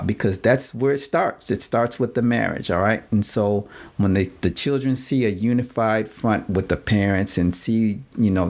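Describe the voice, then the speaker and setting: Gravelly low-voiced man hosting podcast. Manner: frequent fillers, repeated "all right?" check-ins. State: losing his voice. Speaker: podcast host. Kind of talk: casual advice talk.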